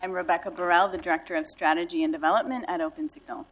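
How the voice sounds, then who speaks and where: A woman's voice: fairly low pitch, fairly even intonation, rapid-fire, poor audio. A woman giving a formal, scripted introduction as a nonprofit director in a council chamber. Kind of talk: prepared introduction at a council meeting.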